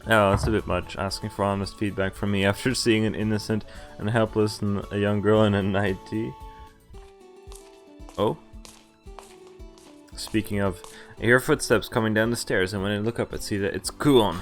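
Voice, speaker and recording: A man live-streaming a visual novel, reading it aloud and breaking into informal, sweary reactions. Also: lowish voice. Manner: informal, sweary asides, stumbles over read lines. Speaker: game streamer. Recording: game music behind the voice. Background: visual novel music and voiced characters.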